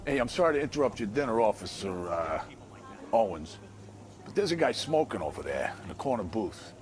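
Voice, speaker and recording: An actor playing a polite, apologetic diner customer with a complaint in a video game. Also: male voice, lowish voice, sing-song, fairly quick, darkish tone. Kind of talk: naturalistic game acting.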